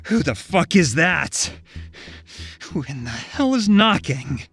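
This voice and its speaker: A man's voice: on the high side, sing-song, takes it slowly, fairly bright tone, husky, exaggerated voice. A man playing a desperate, foul-mouthed, cornered adult in an intense audio drama. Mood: angry, panicked. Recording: prominent music under the voice.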